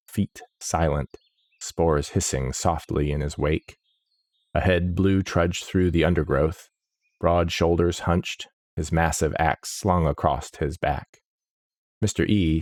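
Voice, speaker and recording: A man narrating a fantasy audiobook in a measured, somber way. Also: a bass voice, a little mellow, no room sound, studio quality.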